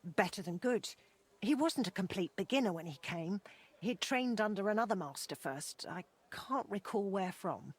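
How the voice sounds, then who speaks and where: Husky, plainspoken motherly older woman. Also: mid-range voice, animated, moderate pace. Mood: fond reminiscing. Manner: plainspoken, reminiscing. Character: motherly old blacksmith's wife, plainspoken and wistful. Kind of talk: video game dialogue acting.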